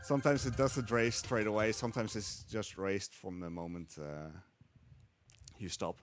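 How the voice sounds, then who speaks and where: A man's voice: on the low side, fairly even intonation, moderate pace, faint music behind. A young man giving live commentary while he speedruns a game at a marathon event, talking hesitantly with many fillers.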